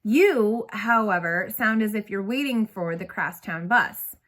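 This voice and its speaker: A woman voicing a stern, exacting female schoolteacher in a storybook, with crisp, commanding, drawn-out emphasis. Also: medium pitch, expressive, a bit bright. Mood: exacting, dramatic.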